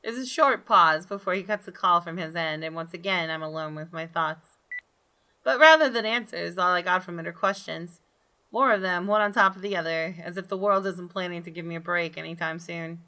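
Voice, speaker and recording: A woman reading a visual novel aloud as expressive, dramatic storytelling for a gaming video. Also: lowish voice, fairly quick, poor audio. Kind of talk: expressive scripted narration with brief asides. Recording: game music behind the voice. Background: soft game music.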